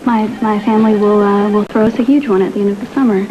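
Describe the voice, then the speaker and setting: An actress playing a sincere, gentle young engaged woman in a television soap, soft-spoken and candid. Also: mid-range voice, fairly even intonation, fast talker, noisy background, close to an everyday voice. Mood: quietly firm. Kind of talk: television soap opera acting. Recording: dull TV audio under music.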